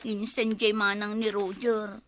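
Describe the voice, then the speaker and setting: A woman's voice: mid-range voice, flat delivery, a little slow, a little hiss or hum, close to an everyday voice. A woman playing a hurt younger woman who has been jilted, plaintive and confiding, in an Ilocano comedy radio drama with an Ilocano Filipino accent.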